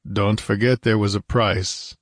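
A gruff barbarian swordsman of pulp fantasy, read by a male narrator. He speaks bluntly and tersely in a fairly low, rough voice, recorded dry. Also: steady tone, a little slow, dry recording. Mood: gruff, impatient.

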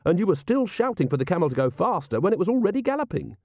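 A man's voice: sits high, sing-song, rapid-fire, dry recording, studio quality, voice pushed up, heavily acted. A man voicing an earnest, polite, eager young male guard captain in an audiobook. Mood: excited, admiring. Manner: respectful, bright and earnest.

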